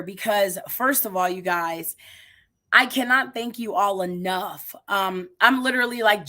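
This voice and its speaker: A woman in her thirties hosting an energetic motivational live stream, talking straight to her viewers, her voice a little raspy today. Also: mid-range voice. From United States. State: slightly raspy voice. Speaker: livestream host.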